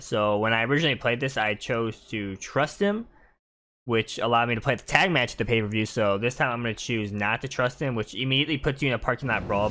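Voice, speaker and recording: A man's voice: fairly low pitch, pushed and bright. A man giving casual gameplay commentary as a gaming video creator.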